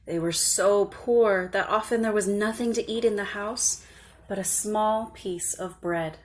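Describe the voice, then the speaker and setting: A woman storyteller reading a scripted children's tale in a measured, expressive way. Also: mid-range voice.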